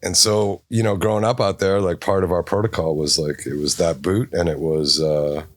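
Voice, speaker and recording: A man's voice: sits low, steady tone, moderate pace, no room sound. A middle-aged man from New England, a podcast guest in casual chat, reminiscing in a laid-back, rambling way with many fillers. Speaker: podcast guest, apparel designer.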